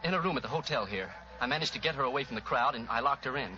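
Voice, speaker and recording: A dull vintage radio recording holds a male actor as an anxious, protective brother. He speaks quickly in a very sharp, rather high voice, with earnest old radio drama delivery. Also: faint music behind, natural voice. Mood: frightened, pleading. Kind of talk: 1940s radio acting.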